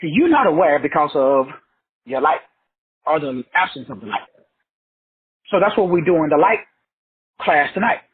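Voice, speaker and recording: A middle-aged man lecturing a class with fervent, preachy energy and plenty of swearing. His voice sits high and swings widely in pitch, with a very raspy, husky edge. The sound is muffled and lo-fi. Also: sing-song, normal speed, pauses often. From South Texas. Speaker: motivational teacher.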